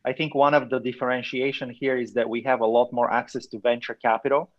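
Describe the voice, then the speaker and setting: A man from Bulgaria speaking in a measured, thoughtful way as a panelist in an online webinar. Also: medium pitch, little pitch movement. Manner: measured, thoughtful, some restarts. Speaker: webinar panelist asking questions. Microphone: computer mic on video call. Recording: muffled lo-fi webinar audio.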